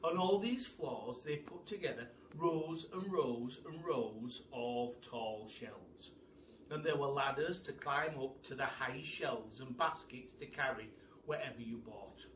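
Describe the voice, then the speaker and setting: Bright-voiced man reading a storybook, echoey room. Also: medium pitch, slow pace. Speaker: teacher storyteller. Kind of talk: expressive children's storybook reading. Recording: echoey room, distant mic, faint noise.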